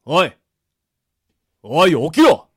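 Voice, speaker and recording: Man acting a stern, animated prison guard. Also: fairly high pitch, slow, breathy edge, full character voice. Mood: gruff, commanding. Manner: scolding, commanding, old-fashioned bossy speech. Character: stern, gruff middle-aged male prison guard. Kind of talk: anime-style amateur radio drama acting.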